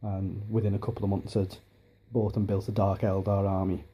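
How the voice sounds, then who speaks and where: Rambling husky Liverpool man at home. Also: low voice, little pitch movement, brisk, dark tone. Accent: softened Liverpool. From Liverpool, England. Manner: rambling with many restarts and ums. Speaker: hobbyist vlogger. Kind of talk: casual home video chat. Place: home dining room. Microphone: handheld camera.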